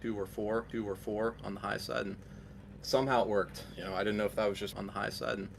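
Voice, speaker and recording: A man with a low, flat voice talks quickly and offhandedly about his race as a guest driver. Faint noise sits behind him. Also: vocal fry. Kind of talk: post-race interview.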